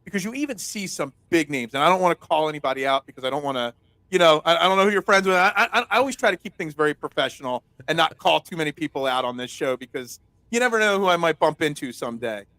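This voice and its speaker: Loud philly guy hosting sports talk, high-ish bright voice, dry close sound. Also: lively intonation, fast talker, dry recording. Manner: chatty, rambling storyteller with many restarts. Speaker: host. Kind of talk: casual sports talk interview.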